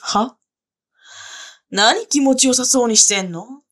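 A woman's voice: a little high, takes it slowly, fairly bright tone. A woman playing a scornful, bossy dominatrix. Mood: scornful, commanding. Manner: bossy, scornful, issuing commands. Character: haughty, contemptuous young dominatrix woman. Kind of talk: adult audio drama.

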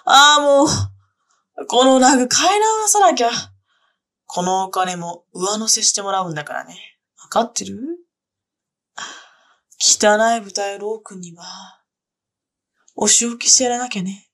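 A woman's voice: mid-range voice, fairly even intonation, unhurried, pauses often, a bit bright. A woman playing a haughty, contemptuous young dominatrix who speaks in a bossy, scornful voice as she gives commands in an adult audio drama. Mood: scornful, commanding.

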